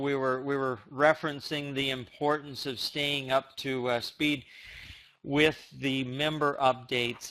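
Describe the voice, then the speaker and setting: A man hosting an informal business update for members on a conference call, speaking haltingly with repeated words and stammered restarts. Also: fairly high pitch, a bit bright.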